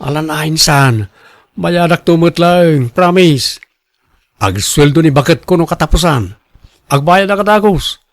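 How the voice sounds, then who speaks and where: A man's voice: fairly high pitch, expressive, measured pace, dry recording, studio quality. A man playing a cash-strapped, defensive husband pleading for loans in an Ilocano radio drama, with an Ilocano accent. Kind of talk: Filipino radio drama acting.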